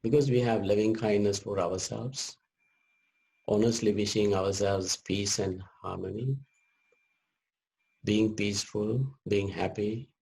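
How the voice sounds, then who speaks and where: A man leading a guided meditation as a teacher, speaking gently in short measured phrases with long pauses. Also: on the low side, steady tone, vocal fry, low-quality recording.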